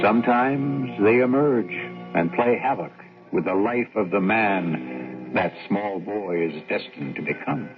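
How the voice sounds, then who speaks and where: Over music on a muffled old broadcast, a man narrates as a mystery host. His deep voice is gravelly, grave and deliberate, with dramatic rhetorical phrasing. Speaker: radio mystery show host.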